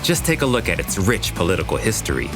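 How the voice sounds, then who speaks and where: A man's voice: low voice, very animated, quick pace, music in the background. A man delivering scripted narration for a fiction podcast.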